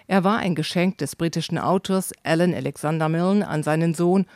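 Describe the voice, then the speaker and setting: A man reading scripted documentary narration for a travel report, measured and descriptive. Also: on the high side, darkish tone, dry recording, studio quality.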